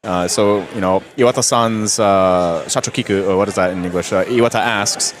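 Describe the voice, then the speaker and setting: A man speaking in a measured, thoughtful way as an interview guest. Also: on the low side, steady tone. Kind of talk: casual interview chat.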